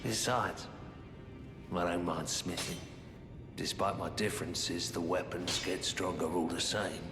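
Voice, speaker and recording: An actor playing a gruff, weary prisoner blacksmith in a video game, gravelly, terse and resigned. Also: male voice, deep voice, steady tone, over music. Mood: resigned, stoic. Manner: slow, terse, fatalistic. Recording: cavernous echo with long reverb.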